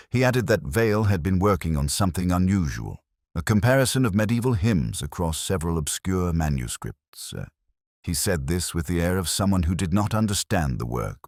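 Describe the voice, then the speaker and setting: A man narrating a scripted first-person detective story in a measured, brooding, literary manner. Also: a bass voice, dry recording, studio quality.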